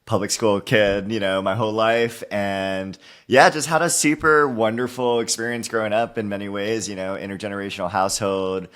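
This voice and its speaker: Low-voiced man from Hawaii, chatty guest. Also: steady tone, moderate pace, few pauses, fairly bright tone. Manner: upbeat, heavy use of "you know" and "like". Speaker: guest author. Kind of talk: casual interview conversation.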